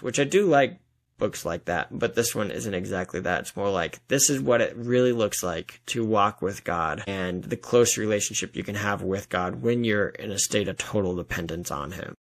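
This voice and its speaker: A man's voice: on the low side, moderate pace. A man speaking as a guest author in a casual interview, with an earnest, enthusiastic and informal manner.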